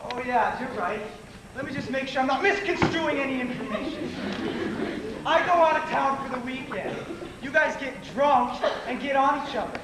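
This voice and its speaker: A young man on stage playing a betrayed, indignant boyfriend, loud and emphatic with heavy sarcasm. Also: extremely high pitch, normal speed. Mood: indignant, sarcastic. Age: young adult. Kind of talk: amateur stage drama acting. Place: theater stage, indoors. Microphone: distant audience camcorder. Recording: echoey theater, noisy camcorder audio.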